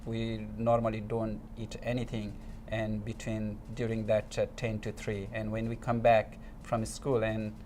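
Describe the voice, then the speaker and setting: Guy with nepali accent, kinda low voice, halting english, interview guest, noisy room behind him. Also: completely flat delivery, darkish tone. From eastern Nepal. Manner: halting, hesitant, searching for words in non-native English. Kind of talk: conversational interview answers.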